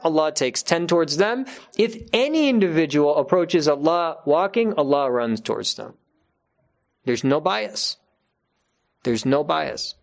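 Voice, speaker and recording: Earnest man giving a religious talk. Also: fairly high pitch. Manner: earnest, emphatic, repeats key phrases for stress. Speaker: religious teacher addressing his congregation.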